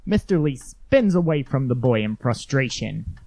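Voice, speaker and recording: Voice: mid-range voice, sing-song, normal speed, warm tone, no room sound. A narrator reading scripted scene descriptions for an audio drama.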